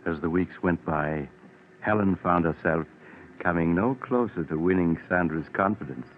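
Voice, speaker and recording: A man with a deep voice tells a story as a measured radio narrator. The old 1940s recording is narrow and tinny, with light hiss.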